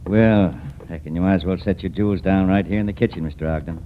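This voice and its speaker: A man's voice: sits low, fast talker, close to an everyday voice. Crooked old country uncle, male actor, drawl, sly and folksy, vintage radio crime drama, muffled noisy recording. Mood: coolly menacing. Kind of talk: 1940s radio drama acting.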